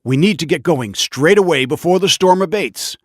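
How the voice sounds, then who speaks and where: An actor playing a grave, resolute army officer in a war game, giving a scripted briefing in a deliberate, commanding way. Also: male voice, fairly high pitch, lively intonation, no room sound, clean studio sound. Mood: resolute, urgent. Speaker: military commander.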